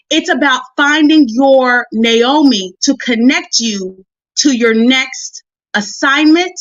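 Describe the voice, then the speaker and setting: High-pitched woman preaching emphatically. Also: fairly bright tone, no echo, muffled recording. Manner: emphatic, conversational, repeats phrases for effect; folksy direct address to women listeners. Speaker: guest preacher and motivational Bible teacher. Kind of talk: motivational sermon on a live stream. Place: live video stream.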